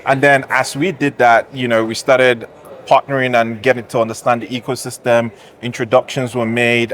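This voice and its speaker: A man from Nigeria, a tech founder and guest, explaining his business in a measured, list-like way during an interview at a conference. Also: mid-range voice.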